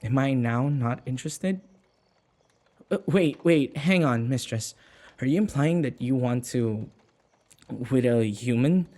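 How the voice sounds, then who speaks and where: A male actor plays a submissive human servant in a vampire audio roleplay. His voice is mid-pitched and mellow, and he speaks slowly and hesitantly. He stammers nervously, sighing and muttering anxious asides as he addresses his mistress. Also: lightly acted. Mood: flustered, anxious. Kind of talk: audio roleplay acting, spoken to the listener.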